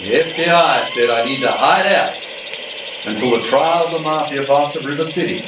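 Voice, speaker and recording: An amateur actor playing a cocky, blundering supernatural detective in an old-time style radio play, breezy and wry. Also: male voice, a little high, normal speed, lightly acted. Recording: echoey, distant, noisy with mechanical rattle.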